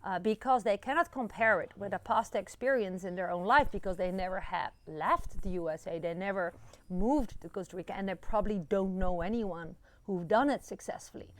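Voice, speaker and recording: A woman's voice: mid-range voice, fairly quick. A woman in her forties from the Netherlands with a Dutch accent, chatting encouragingly to a phone camera outdoors, with many fillers. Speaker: vlogger. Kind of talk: casual talk to camera. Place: outdoors in a rural yard. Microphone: phone camera at arm's length.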